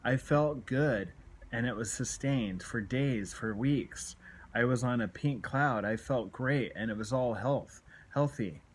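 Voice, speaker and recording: A man's voice: medium pitch, slow, husky. A man in his late forties talking candidly to camera in an earnest, exhorting, confessional way, often repeating his points for emphasis. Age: late 40s. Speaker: self-help video blogger. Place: small room at home, indoors. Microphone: phone at arm's length.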